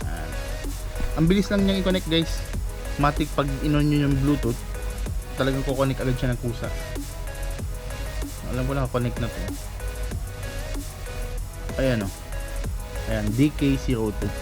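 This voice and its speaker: A man's voice: medium pitch, normal speed, pauses often. A man from the Philippines, a motorcycle rider, chatting casually as he reviews a helmet headset at home and talking straight to his viewers with lots of filler tags. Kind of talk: casual product review vlog. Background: music playing from the headset.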